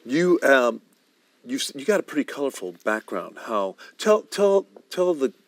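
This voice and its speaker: A man's voice: medium pitch, very animated, a little slow, dry recording. A man hosting a TV interview, casual, asking questions and often giving encouraging "mm-hmm" replies. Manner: frequent encouraging "mm-hmm" backchannels. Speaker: TV interview host.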